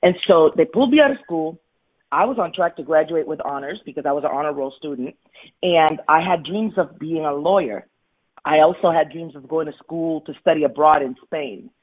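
A woman's voice: low voice, brisk, husky. A woman in her forties from Florida, earnestly telling her life story as an interview guest. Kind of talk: candid personal storytelling. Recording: tinny phone-like line.

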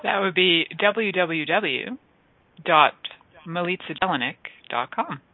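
A woman's voice: on the low side, animated, a little slow, dry recording. A chatty woman from Canada tells stories and laughs often. She comes through a tinny, phone-like line with a dry, close sound. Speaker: guest. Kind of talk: casual interview chat.

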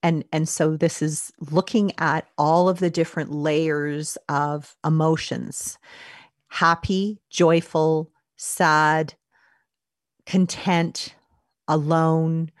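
A woman speaking as a life coach, leading a calm, reflective self-help talk and often repeating her words. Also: low-pitched, takes it slowly, no echo, studio quality.

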